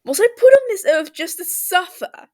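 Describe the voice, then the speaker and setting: A woman's voice: very high-pitched, expressive, normal speed, a little mellow, pitched above the performer's own voice. A woman voicing a smug, overdramatic, grumbly young boy healer in a story reading. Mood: dramatic anguish. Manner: cheeky, overdramatic, sweary.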